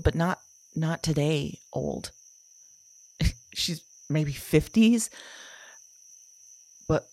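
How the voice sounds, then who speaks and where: A woman playing a haunted dreamer in an audio drama, haltingly recounting visions of past lives. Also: deep voice, darkish tone, full character voice. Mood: musing. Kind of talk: confessional audio drama monologue, halting recollection.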